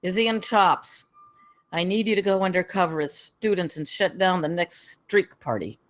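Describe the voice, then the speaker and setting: A woman's voice: lowish voice, moderate pace, natural voice. A woman reading the part of an impatient, commanding campus security captain at a script table read.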